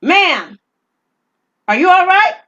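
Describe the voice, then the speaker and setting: A woman voicing a forceful, concerned police officer in an audio drama. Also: extremely high pitch, big pitch swings, slow, muffled recording, voice pushed up, heavily acted. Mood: loud concern.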